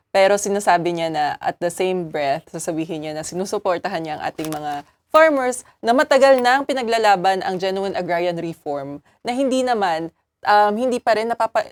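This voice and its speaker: A woman talking as a panelist, switching between languages and often restarting her sentences. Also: lowish voice. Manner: halting, with many restarts and self-corrections. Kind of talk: casual panel discussion, mixing two languages.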